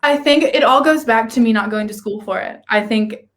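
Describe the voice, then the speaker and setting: Young woman, singer client, candid and modest, slightly high pitch. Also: fairly quick. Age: young adult. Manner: candid, self-deprecating, laughs often. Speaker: singing client. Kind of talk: casual interview.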